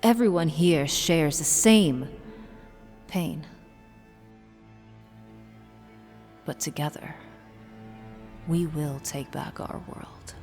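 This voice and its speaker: A menacing female leader of a secret order, played by a woman, speaks low and very slowly. Her delivery is imperious and solemn, audio-drama style, with long hall reverb around it. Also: animated, a little mellow, exaggerated voice. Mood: solemn, grave. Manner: measured, imperious. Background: eerie horror music.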